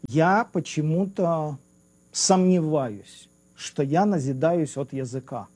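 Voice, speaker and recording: A man's voice: on the high side, slow, a little mellow. A man in a theological debate, raising polite objections and pressing questions.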